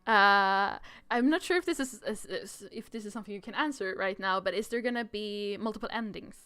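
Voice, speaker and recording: A woman's voice: mid-range voice, a little fast, bright, forceful tone, clear, resonant tone, no echo. A woman chatting and thinking aloud hesitantly while she plays a game demo on a livestream. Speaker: streamer playing a demo, guest. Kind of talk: livestream playthrough chat with read-aloud dialogue.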